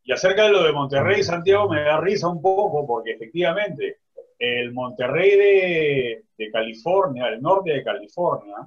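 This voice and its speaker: A man's voice: on the high side, lively intonation, moderate pace, husky, highs cut off. A man talking reflectively in a panel discussion over a video call, often restarting his phrases. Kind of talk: casual discussion.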